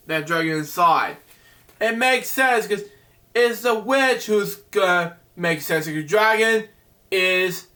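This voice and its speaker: A man reading a bedtime picture book aloud, halting and stumbling over words, with chatty asides to the listener. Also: high-pitched, bright, forceful tone.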